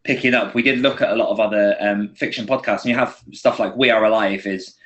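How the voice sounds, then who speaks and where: British male podcast guest, lowish pitch, conversational and explanatory. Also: fairly even intonation, quick pace, a bit bright. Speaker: podcast guest, indie horror author. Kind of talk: casual explanatory chat.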